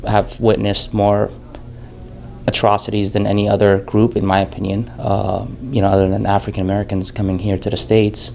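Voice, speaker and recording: A man's voice: fairly low pitch, little pitch movement, moderate pace, gravelly, darkish tone. A man in his thirties from Jordan, a filmmaker speaking candidly in an interview, often restarting his sentences. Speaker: filmmaker guest. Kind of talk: casual interview talk.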